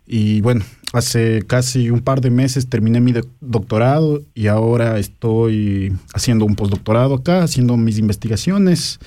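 A man's voice: mid-range voice, normal speed, few pauses, warm tone. A young man with an Ecuadorian accent, answering interview questions casually and explaining things with some hesitation. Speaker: interview guest, researcher. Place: studio.

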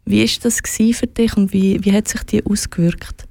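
A woman asking calm, personal questions as an interviewer. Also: medium pitch, fairly quick, gravelly, no room sound, clean studio sound.

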